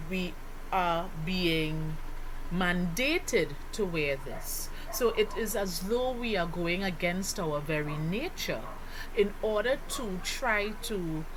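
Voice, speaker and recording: A Trinidadian woman delivers an explanatory lesson in a slightly low voice that rises and falls animatedly. She takes her time and often puts questions to the listener. Clear background noise runs under the recording. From Trinidad and Tobago. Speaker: sociology teacher. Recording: clearly noisy background ambience.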